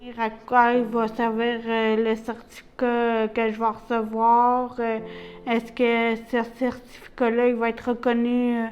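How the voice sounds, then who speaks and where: A woman with a Québécois accent, an adult literacy learner giving a personal testimony, halting often with fillers and pauses. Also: a little high, monotone, darkish tone, smooth, muffled recording. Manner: hesitant, many pauses and fillers.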